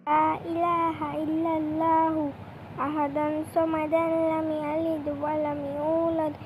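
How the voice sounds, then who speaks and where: A woman's voice: high-pitched, monotone, unhurried, slight background noise, rough recording. A woman voicing a polite, obedient and eager little schoolboy in a children's cartoon. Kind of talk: children's Islamic cartoon dialogue.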